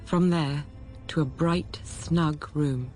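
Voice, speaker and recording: An actress playing a restless, self-controlled young governess in a radio drama, speaking in a measured, introspective way. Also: female voice, low voice, darkish tone, rough recording. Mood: quietly observant. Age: young adult. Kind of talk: radio drama, first-person literary narration and dialogue. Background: background music.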